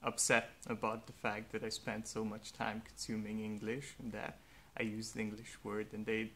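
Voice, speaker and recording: A young man, a native Hungarian speaker, speaking slowly and earnestly in a reflective personal monologue with faint background noise. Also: fairly low pitch, level tone, pushed and bright. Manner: slow, thoughtful, earnest. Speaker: vlogger.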